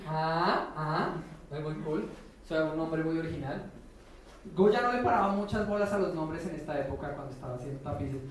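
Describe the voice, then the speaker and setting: A man's voice: high voice, a little mellow. A Colombian man giving an informal lecture as a professor, speaking in a colloquial, slangy, irreverent way and often checking in with short tag questions. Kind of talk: informal conference talk. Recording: echoey room, distant mic, faint noise.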